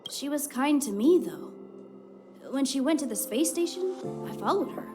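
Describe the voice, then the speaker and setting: A woman's voice: high voice, lively intonation, normal speed. Earnest, dutiful young female guard. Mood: reflective. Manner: earnest, hesitant. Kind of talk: video game voice acting. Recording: music behind the voice.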